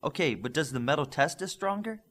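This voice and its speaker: A man's voice: fairly high pitch, lively intonation, brisk, a bit bright, pitched above the performer's own voice. A man voicing an earnest, modest young male superhero with a questioning manner in an audiobook. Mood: puzzled, curious.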